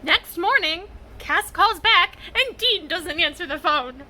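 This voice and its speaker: A woman talking casually in a reaction vlog, with a halting, expressive fangirl delivery and dramatic pauses. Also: very high voice, a little hiss or hum. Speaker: vlogger sharing reactions to fan fiction.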